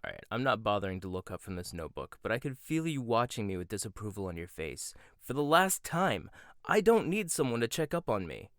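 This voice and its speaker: A man voices a proud young head chef with a sharp, sarcastic tongue who swings his voice up and down as he argues. He sounds close and dry, with no room around him. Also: lowish voice, sing-song, fairly quick, no echo. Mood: irritated. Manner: sarcastic, confrontational. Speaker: voice actor. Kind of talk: audio roleplay.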